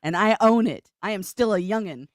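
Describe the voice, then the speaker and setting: A woman in her thirties, sick, with a low voice often taken for a man's, chatting casually on a livestream. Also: animated, fairly quick, dry recording, studio quality. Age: 30s. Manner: chatty, rambling with fillers. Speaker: guest streamer.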